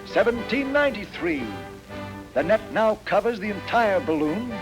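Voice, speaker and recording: A man's voice: high-pitched, expressive, moderate pace, poor audio. An actor playing a wry, playfully pompous male lecturer giving comic commentary in a 1940s training film. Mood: showy, pompous. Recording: 1940s film soundtrack over music.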